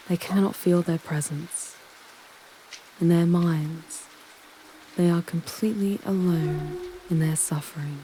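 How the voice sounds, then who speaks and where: A woman's voice: low voice, dark tone, slight background noise, poor audio. A woman playing a disdainful, sultry female demon guide in an ASMR roleplay, speaking formally and theatrically to the listener. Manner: haughty, theatrical, formal phrasing.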